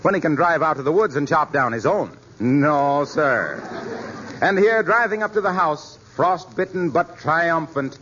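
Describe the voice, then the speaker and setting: A man announcing a 1940s radio show, formal and booming, with earnest appeals to listeners. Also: expressive, a little slow, a bit bright. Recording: muffled 1940s radio broadcast with hiss.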